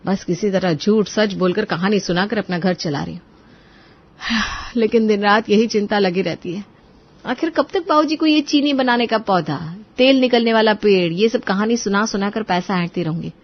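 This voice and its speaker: A woman's voice: medium pitch, moderate pace, lo-fi sound, natural voice. Woman acting a clever, burdened young daughter-in-law. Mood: plaintive. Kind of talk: Hindi moral story cartoon acting.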